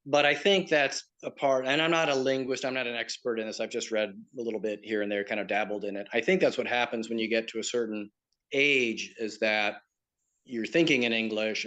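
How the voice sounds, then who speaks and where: A man with a slight Southern American accent talks through his ideas in a relaxed, explanatory way. His speech is full of fillers and restarts, like an easygoing interview guest. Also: mid-range voice. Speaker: pilgrimage tour operator and guest. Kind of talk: conversational interview.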